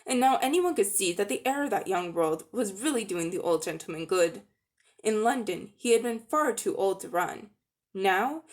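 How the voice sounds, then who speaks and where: A woman narrating a children's story as a dramatic reading, with expressive storytelling delivery. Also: medium pitch. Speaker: audiobook-style narrator.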